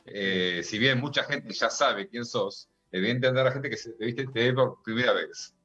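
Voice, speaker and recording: A man's voice: mid-range voice, moderate pace, sharp tone, breathy edge. A man with an Argentine accent hosting a radio interview, with brief affirming interjections. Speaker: radio host. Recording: dull lo-fi sound with faint music.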